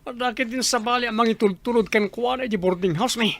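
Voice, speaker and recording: A man's voice: extremely high pitch, quick pace, gravelly, fairly bright tone, full character voice. A man playing an aggrieved, grumbling boarder and young father in a radio drama, complaining in a Filipino accent. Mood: grumbling, weary.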